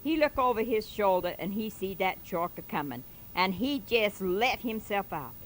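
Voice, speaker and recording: A woman's voice: mid-range voice, lively intonation, moderate pace, a little hiss or hum, lightly acted. Woman doing a fervent old-time male preacher, drawling dialect, exhorting, repetitive asides, comic sermon recitation. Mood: preaching.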